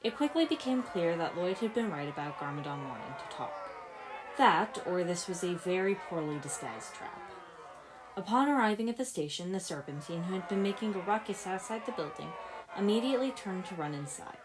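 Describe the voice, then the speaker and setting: A woman with a low voice reads fiction aloud. She delivers scripted narration as a storyteller, carrying both description and character dialogue. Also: lively intonation, fairly quick, background noise. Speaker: fan fiction narrator, podfic reader.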